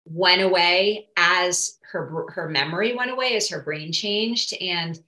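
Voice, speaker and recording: Woman, podcast co-host, bright forceful tone, lowish pitch, thoughtful, echoey room. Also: a little slow. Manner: thoughtful, searching for words. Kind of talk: conversational interview.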